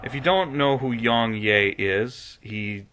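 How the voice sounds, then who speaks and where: A man's voice: medium pitch, slow, a bit bright, slight background noise. A man hosting a video review show, giving conversational commentary in an earnest, emphatic and enthusiastic manner. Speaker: host of game movie review show.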